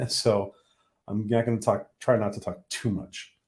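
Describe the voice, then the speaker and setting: A man hosting a casual livestream who is losing his voice. He talks haltingly, with many ums and restarts. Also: fairly low pitch, fairly even intonation, darkish tone. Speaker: livestream host.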